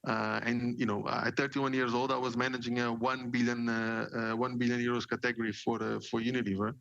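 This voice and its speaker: Gravelly, dull-sounding man from Portugal. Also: medium pitch, level tone, brisk, fairly bright tone, no room sound. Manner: many fillers and repeated words, storytelling. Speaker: guest, marketing executive. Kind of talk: casual interview talk.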